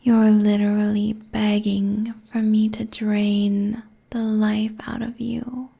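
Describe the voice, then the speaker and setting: Woman as a slow, whispering seductive demon queen. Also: a little high, warm tone, heavily acted. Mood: amused, cruel. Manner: breathy, slow, condescending whispering. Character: seductive, cruel, haughty succubus demon queen. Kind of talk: intimate ASMR roleplay monologue. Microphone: close binaural microphone.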